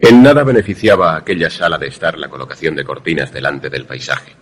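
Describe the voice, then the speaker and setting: Man as deep, husky suspense storyteller. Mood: measured, suspenseful. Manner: measured, literary, formal. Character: inquisitive male visitor narrating an eerie tale, polite, sceptical. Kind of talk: suspense radio play narration and dialogue.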